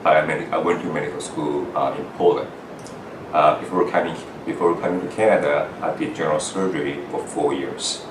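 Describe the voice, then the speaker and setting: Man from Taiwan, halting speech full of fillers, steady hum of air conditioning behind, echoey room. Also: extremely low pitch, recorded from a distance. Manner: halting, frequent "uh" fillers and restarts. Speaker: interviewee, foreign-trained doctor. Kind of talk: earnest personal account to camera.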